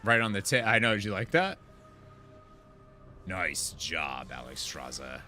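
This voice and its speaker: A man streaming a video game, chatting in an informal, slangy, expressive way and reading quest text aloud. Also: deep voice, measured pace. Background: game music.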